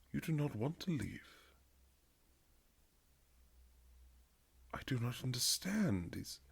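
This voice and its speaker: A man playing a reserved aristocratic husband in an intimate romantic period audio drama, speaking formally and haltingly with earnest tenderness in a gravelly, husky voice. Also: mid-range voice, heavily acted. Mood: yearning, stunned. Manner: formal, old-fashioned, halting, earnest phrasing.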